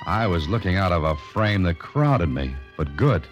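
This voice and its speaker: A man's voice: sits low, sing-song, moderate pace, lo-fi sound. An American actor playing a tough, wry amateur sleuth who narrates his own case in a clipped 1940s radio mystery style. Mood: wry narration. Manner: clipped, wry, hard-boiled. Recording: old radio recording with music.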